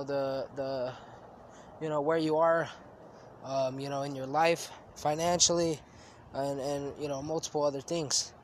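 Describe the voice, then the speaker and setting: A man with a cold hosts a solo podcast, sniffling as he talks. His voice sits a bit high for a man, and he rambles loosely with many fillers. Faint street and traffic noise hums underneath. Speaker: podcast host. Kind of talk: casual solo podcast talk.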